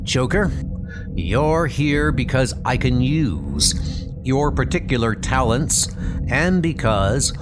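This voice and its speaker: Man acting a deliberate, scheming supervillain mastermind. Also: mid-range voice, very animated. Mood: cool command. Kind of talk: comic book dub acting. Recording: music bed under the voice.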